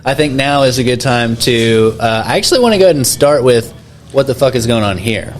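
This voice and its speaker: A man's voice: mid-range voice, expressive, brisk, slight background noise. A man hosting a podcast in a casual, laid-back, slangy way, often saying bro. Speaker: podcast host.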